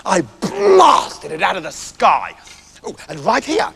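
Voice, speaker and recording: A man voicing a pompous big-game hunter from a storybook, bragging grandly in drawn-out phrases. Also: sits high, huge pitch swings, vocal fry, a bit bright, big-room sound, a little hiss or hum, heavily acted. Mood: boastful.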